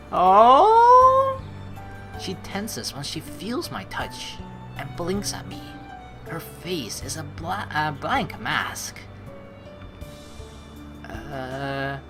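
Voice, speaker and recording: A young woman reading a visual novel aloud and adding playful, chatty commentary between lines. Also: low-pitched. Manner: playful, giggly, chatty asides. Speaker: let's play streamer.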